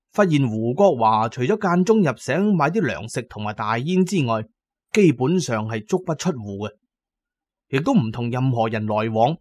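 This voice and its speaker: Expressive Cantonese male storyteller. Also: mid-range voice, no echo. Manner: colloquial, expressive. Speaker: storyteller, narrator. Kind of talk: dramatic audiobook-style storytelling.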